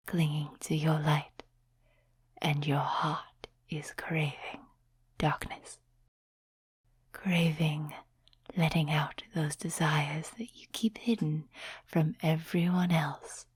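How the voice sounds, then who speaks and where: Woman acting a breathy, whispering ancient demon temptress. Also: low-pitched, no room sound, heavily acted. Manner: slow, teasing, soft-spoken whispering. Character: ancient seductive demon, mocking, amused, condescending to mortals. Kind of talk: whispered ASMR roleplay. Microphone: close, dry microphone.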